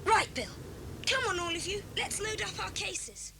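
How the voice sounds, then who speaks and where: A woman voicing a sensible, bossy young boy, brisk and authoritative, in a children's audio drama. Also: gravelly, sharp tone, full character voice. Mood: brisk, reassuring. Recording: noisy cassette, vehicle rumble.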